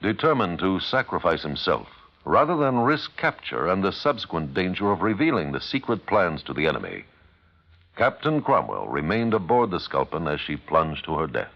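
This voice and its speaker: A man's voice: low-pitched, sing-song, normal speed, vocal fry. A man reading a solemn, formal scripted public service announcement on an old radio broadcast. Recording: dull old radio recording.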